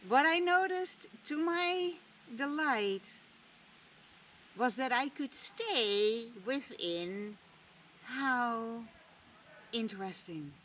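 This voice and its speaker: A woman whose native language is Dutch, reflecting slowly and candidly on a spiritual lesson, with long pauses and the odd casual swear word. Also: a little high, bright, forceful tone, poor audio. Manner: slow, deliberate, musing with long pauses. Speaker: spiritual vlogger. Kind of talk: reflective personal talk.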